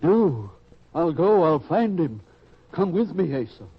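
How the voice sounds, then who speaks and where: A man playing an elderly, loving farmer father in old-time radio style, earnest and pleading, with a husky voice. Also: on the high side, big pitch swings, takes it slowly, heavily acted. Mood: urgent pleading. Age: older. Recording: dull lo-fi radio drama sound.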